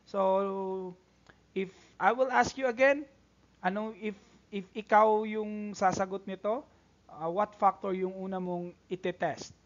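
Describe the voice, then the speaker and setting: A man's voice: high-pitched, steady tone, a little slow. A man with a Filipino accent and Bisaya as his first language explains like a classroom teacher. He repeats steps and checks in often. The recording is dull and lo-fi. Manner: instructional, repetitive, checks in often. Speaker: math teacher. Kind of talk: tutorial lesson, code-switching explanation.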